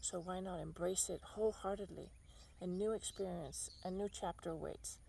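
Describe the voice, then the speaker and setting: A woman born in Argentina, reflecting in a thoughtful, unhurried personal monologue while sitting outdoors on a bench. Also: on the low side, steady tone, gravelly, dark tone, slight background noise, rough recording. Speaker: podcast host.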